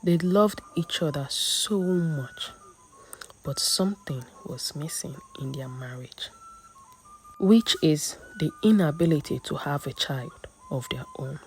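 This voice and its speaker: Woman storyteller, Nigerian accent, slow and measured with dramatic pauses, lively melodic range, scripted folktale read. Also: sits low, slight background noise, low-quality recording.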